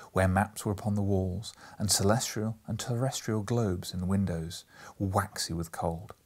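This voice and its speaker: A man reading a classic story aloud as an audiobook narrator, expressive and theatrical with breathy emphasis. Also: lowish voice.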